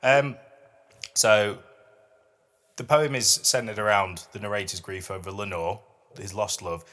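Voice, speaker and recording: British dad, casual and wry. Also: male voice, on the low side, fairly even intonation, unhurried, fairly bright tone. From United Kingdom. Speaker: podcast host and dad. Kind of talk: casual chat with dramatic poetry readings.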